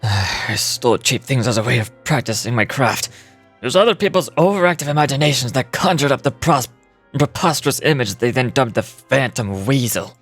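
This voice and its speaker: A man's voice: medium pitch, lively intonation, a little fast, a bit bright, soft background music. A man playing a wealthy, unrepentant crook in scripted video game dialogue, with theatrical, eloquent delivery. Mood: indignant. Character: defeated wealthy male crook, proud and unrepentant.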